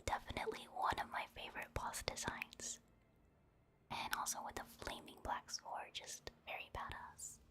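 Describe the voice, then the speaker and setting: A woman giving soft-spoken, whispered ASMR commentary over video game play, in a gentle, casual and unhurried manner.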